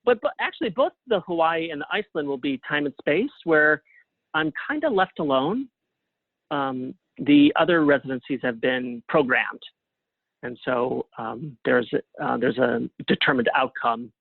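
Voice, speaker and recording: A man speaking as an interview guest in a casual, thoughtful, halting way over a tinny phone-like connection. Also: fairly high pitch, expressive, creaky, no room sound. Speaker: interview guest, playwright and artist. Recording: narrow tinny phone-like line.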